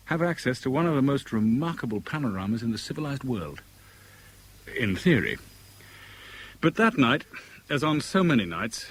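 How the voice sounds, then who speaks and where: An actor playing a wry British investigator in a 1970s radio drama, speaking with dry, articulate understatement. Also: male voice, medium pitch, husky. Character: wry British man investigating in Cold War Hong Kong, narrating. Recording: faint hiss on old radio recording.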